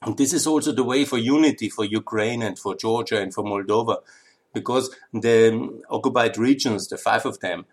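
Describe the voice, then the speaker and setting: A man with a low voice and an Austrian accent, German being his first tongue. He talks in an earnest, pleading monologue and presses his points through repetition, the way a podcast host appeals to listeners. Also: moderate pace. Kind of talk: impassioned political monologue.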